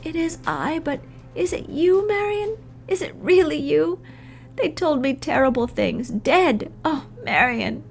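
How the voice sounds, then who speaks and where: A woman's voice: sits high, a little slow, faint music behind, pitched above the performer's own voice. A woman voicing a frail, confused young woman who is trembling and pleading, in a storybook reading. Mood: distraught. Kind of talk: audiobook character voice.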